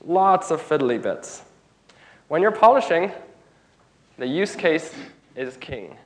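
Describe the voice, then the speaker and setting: A man's voice: fairly high pitch, sing-song, takes it slowly, poor audio. A man giving a technical conference talk in a room, stuffed up from a cold, informal and often restarting phrases. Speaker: conference speaker.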